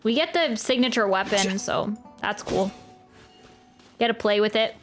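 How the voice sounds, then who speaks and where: A woman with a high voice gives casual live reactions to a game. She exclaims and repeats short phrases, with soft game music behind her. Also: measured pace. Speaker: game streamer reacting to a playthrough. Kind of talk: casual live gaming commentary.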